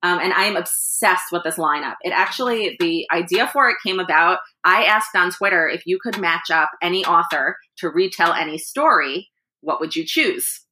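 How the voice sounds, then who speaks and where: A woman's voice: lowish voice, normal speed, pushed and bright, rough recording. A woman, an author and editor, talking animatedly in a casual interview, laughing often and restarting her sentences. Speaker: author and anthology editor, guest.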